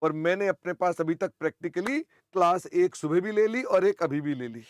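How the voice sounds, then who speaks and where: Older guy teacher, hoarse throat, keeps clearing it, chatty and teasing, high-ish voice. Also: moderate pace, no echo, studio quality. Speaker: accounting teacher. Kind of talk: online class lecture.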